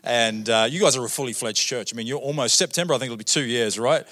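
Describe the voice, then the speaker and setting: Chatty New Zealand pastor preaching. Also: male voice, medium pitch, quick pace, bright, forceful tone. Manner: chatty, joking, many fillers and "right?" tags. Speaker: guest pastor. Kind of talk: sermon. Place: church auditorium.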